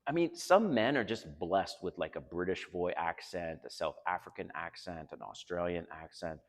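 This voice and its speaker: A man's voice: low voice, expressive, normal speed, in a large hall. An older man from Canada with a Canadian accent, giving blunt, frank dating advice straight to his viewers in a casual monologue.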